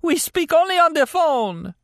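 Audiobook role: frantic injured foreigner, voice pushed very high, agonized and begging. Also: male voice, sing-song, a little slow, no echo, clean studio sound, exaggerated voice. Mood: agonized, pleading. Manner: strained, agonized pleading. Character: frantic wounded foreign man, pleading in agony.

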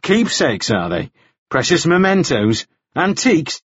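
A man voicing a suspicious, exasperated man who questions forcefully and scornfully in an audiobook. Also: on the high side, sing-song, a little slow, slightly rough, dry recording, muffled recording, voice pushed up, exaggerated voice. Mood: scornful, insistent. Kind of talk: audiobook character acting.

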